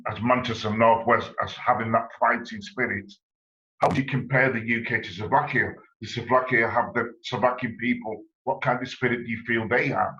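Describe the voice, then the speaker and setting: Enthusiastic male host on a video call, bright tone, dull low-fi sound. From England. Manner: enthusiastic, effusive, rambling questions. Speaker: interviewer, channel host. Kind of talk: online interview.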